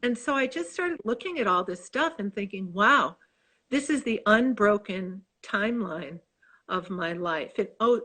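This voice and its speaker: A woman's voice: mid-range voice, animated, unhurried, a bit bright. A woman in her sixties with a Chicago accent, an author talking eagerly and in rambling restarts over a video call. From Midwest United States. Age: 60s. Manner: enthusiastic, rambling, many restarts. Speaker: author guest. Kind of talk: casual video chat interview.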